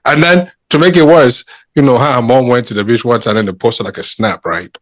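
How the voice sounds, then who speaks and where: A man from Cameroon hosting a podcast, chatting and telling stories in a teasing, animated way. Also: medium pitch, brisk, no echo. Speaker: podcast host. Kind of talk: casual chat.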